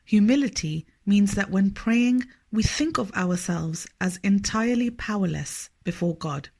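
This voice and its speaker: A woman reading a scripted scripture study aloud in a measured, formal manner. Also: mid-range voice, darkish tone. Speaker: reader of a religious study text.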